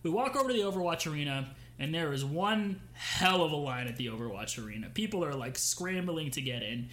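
A man's voice: a little high, animated, fairly quick, fairly bright tone, poor audio. A young man co-hosting a podcast, telling a story in casual chat with many fillers and restarts. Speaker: podcast co-host.

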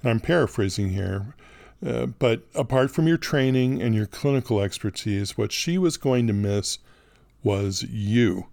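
A man hosting a podcast, moving between scripted narration and interviewing with deliberate, articulate phrasing. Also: mid-range voice, expressive, vocal fry, a little mellow, dry recording, clean studio sound. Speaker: podcast host.

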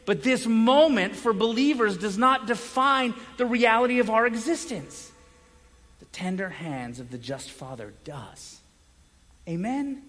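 A male pastor delivers a sermon in a notably high-pitched voice, with long reverb from a large hall. His delivery is emphatic, moving between raised volume and hushed phrases, and he leaves dramatic pauses for weight. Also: animated. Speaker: pastor preaching. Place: large indoor room, preaching to a congregation.

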